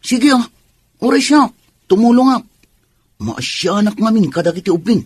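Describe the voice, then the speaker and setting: A man playing a chatty, forthright, soft-hearted landlord and neighbour in a radio drama, with a husky voice. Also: sits high, lively intonation, moderate pace. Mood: sympathetic, scheming.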